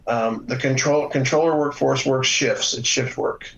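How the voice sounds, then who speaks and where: A man giving a measured, halting explanation as an expert guest in a news interview, with frequent hesitations. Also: mid-range voice, fairly even intonation, gravelly, a bit bright, slight background noise, poor audio. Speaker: former air traffic controller, instructor, guest expert.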